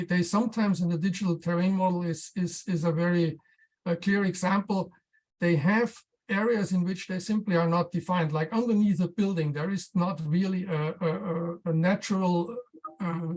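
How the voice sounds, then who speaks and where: A researcher presents technical material in a medium-pitched voice. The delivery is explanatory and loosely prepared rather than read out. Phrases come haltingly, with words repeated while the next thought is put together. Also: a little mellow, low-quality recording.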